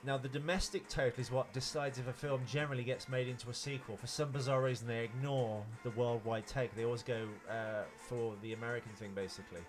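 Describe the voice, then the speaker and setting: A man's voice: medium pitch, fairly even intonation, fast, few pauses. Guy on a podcast, explaining his opinions casually, faint music in there. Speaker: podcast co-host. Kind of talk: casual film chat.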